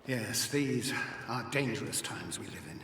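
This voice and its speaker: An actor playing a stern older Roman general speaking to his son in a measured, grave, fatherly way in a game cutscene. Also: medium pitch, lively intonation, creaky, fairly bright tone, a little hiss or hum. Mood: solemn. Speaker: father of a young soldier.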